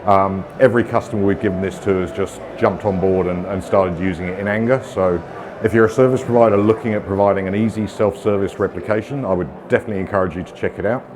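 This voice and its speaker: A man's voice: deep voice, steady tone, fast talker, noisy background. Middle-aged British man giving a tech talk. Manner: casual, self-deprecating, frequent ums and uhs. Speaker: conference speaker. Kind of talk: technical conference talk. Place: conference room with audience.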